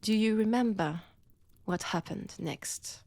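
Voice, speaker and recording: Woman acting as ancient vampire villainess, French accent, unhurried delivery, seductive, mocking, menacing, breathy whispers, dry intimate audio drama. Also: mid-range voice, warm tone, no room sound. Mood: wry, bitter. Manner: slow, taunting, theatrical, dropping often into breathy whispers.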